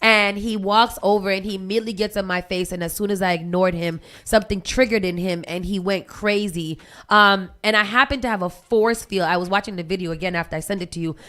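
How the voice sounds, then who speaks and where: A woman's voice: mid-range voice, fairly even intonation, quick pace, pushed and bright. Emphatic woman radio host. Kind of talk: morning radio talk show chat.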